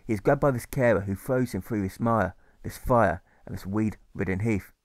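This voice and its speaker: A man narrating a rhyming audiobook in a measured, rhythmic manner that stresses the rhymes. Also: lowish voice, no room sound, clean studio sound. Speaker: author reading his audiobook.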